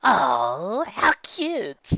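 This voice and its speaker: Wildly shrill, cackling villain mare. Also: male voice, wildly swooping pitch, extremely slow, pushed and bright, no echo, muffled recording, full character voice. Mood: manic, mocking. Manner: laughing hysterically, mocking. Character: manic villainous pegasus mare, gleefully cruel.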